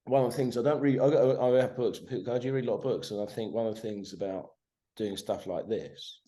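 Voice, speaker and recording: A man in his forties talks as a podcast guest over a video call. He swears freely and stays animated. He keeps tagging his points with "right?". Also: on the low side, quick pace. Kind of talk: casual conversation.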